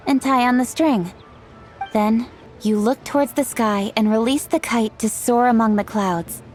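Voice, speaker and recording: An actress playing a poised, earnest young woman official in a video game, speaking in an articulate, measured, explanatory way. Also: a little high, a little mellow, lightly acted. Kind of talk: scripted video game dialogue acting. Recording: music behind the voice.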